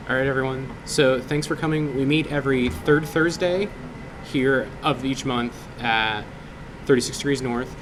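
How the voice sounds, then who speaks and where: A man casually making closing announcements as the organiser of a meetup. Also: medium pitch. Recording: clear background hum, live room.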